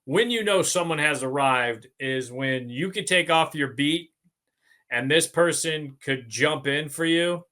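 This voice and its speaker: A man's voice: a little high, unhurried, pushed and bright. An American man hosting a casual sports podcast, speaking as a sportswriter with a wry, self-deprecating manner.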